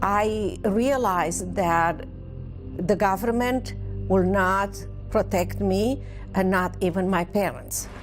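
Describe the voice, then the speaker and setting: This is a woman telling her story as an interview guest. She speaks slowly and earnestly, sometimes hesitating. Music plays clearly beneath her voice. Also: mid-range voice. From Romania. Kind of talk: recounting personal testimony.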